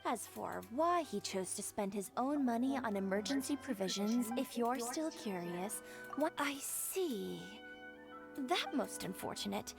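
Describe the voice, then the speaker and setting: Casting: courteous, knowing female game merchant, highish animated voice, formal phrasing, music underneath. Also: unhurried, vocal fry. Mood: polite, knowing. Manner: polite, explanatory, formal wording. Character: polite, composed woman merchant who shares information knowingly. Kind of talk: video game voice acting.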